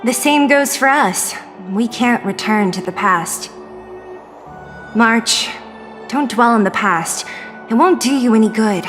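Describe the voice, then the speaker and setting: Tough young game fighter woman: blunt, high, unhurried, reverberant. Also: expressive, fairly bright tone. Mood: somber, warning. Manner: blunt, plain-spoken. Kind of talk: English video game voice acting. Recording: reverberant, with music underneath.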